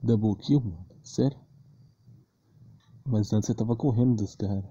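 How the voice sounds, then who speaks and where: A man's voice: lowish voice, normal speed, mellow. A Brazilian man giving casual gameplay commentary in short, slangy remarks as he plays. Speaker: gamer commentating own match. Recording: muffled lo-fi mic with clear noise.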